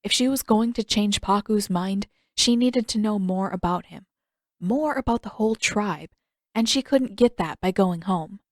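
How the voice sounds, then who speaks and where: A woman's voice: mid-range voice, moderate pace, no room sound, studio quality. A woman reading a fiction story aloud as a scripted audiobook narration.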